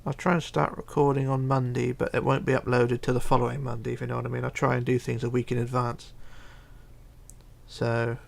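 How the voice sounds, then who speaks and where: A man hosting a casual livestream, reading chat aloud and chatting in a rambling, halting way. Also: mid-range voice, completely flat delivery, creaky. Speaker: Minecraft livestreamer.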